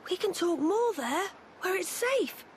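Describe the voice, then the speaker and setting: A woman's voice: extremely high pitch, lively intonation, unhurried, slight background noise. A woman voicing an eager, bookish young boy in a video game, chirpy and informal. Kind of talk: video game cutscene acting.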